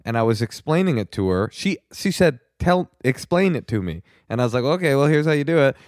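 A man's voice: medium pitch, lively intonation, brisk, a little mellow, no echo, studio quality. An American man co-hosting a comedy podcast, chatting casually in a wry, joking way. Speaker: podcast co-host.

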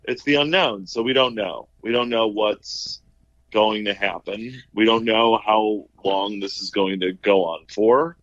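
A man chatting casually as a podcast guest, halting and repeating phrases for emphasis, on a slightly lo-fi microphone. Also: fairly low pitch, a bit bright, no room sound. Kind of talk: casual comic chat. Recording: dull, slightly lo-fi microphone sound.

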